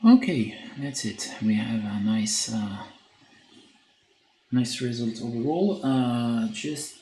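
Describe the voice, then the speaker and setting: Slow, gravelly French man painting. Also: mid-range voice, animated, warm tone. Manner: hesitant, many filler pauses, reads chat aloud. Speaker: miniature painter streaming. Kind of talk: casual live-stream chat while painting. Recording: echoey room with faint background noise.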